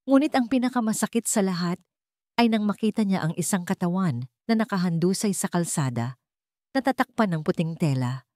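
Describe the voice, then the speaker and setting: A woman with a fairly low voice narrates a romantic drama. Her delivery is emotive and melodramatic, and the sound is very dry, with little room in it. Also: expressive, a little fast, a little mellow, no echo. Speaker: story narrator.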